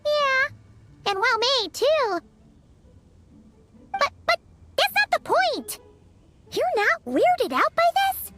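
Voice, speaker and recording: A woman voicing a tiny, excitable childlike fairy companion in a video game, with a squeaky voice and exclamatory delivery. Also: slow pace, pauses often, heavily acted. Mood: flustered. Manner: exclamatory, refers to herself in third person. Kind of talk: video game character acting.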